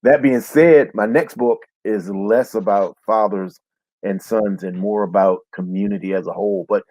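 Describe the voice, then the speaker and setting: A man's voice: on the low side, steady tone, moderate pace, dark tone, dry recording, low-quality recording. A man speaking as a guest author on a panel, candid and reflective. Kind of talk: panel discussion.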